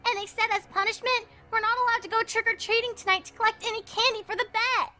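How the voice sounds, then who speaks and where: A woman voicing a small, earnest, uneasy young filly pony with a childlike squeaky voice in a story reading. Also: fairly even intonation, fast talker, bright, forceful tone. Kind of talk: dramatic fan fiction reading.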